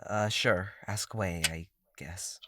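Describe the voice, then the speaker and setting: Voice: low voice, steady tone, slow, background noise. An actor playing an anxious, sullen agender psychiatric patient in an audio drama, speaking in a hesitant, guarded way.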